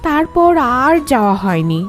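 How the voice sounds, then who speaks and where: A woman's voice: fairly high pitch, sing-song, normal speed, faint music behind. A woman playing a concerned mother of a grown son in cartoon dialogue. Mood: concerned, wistful.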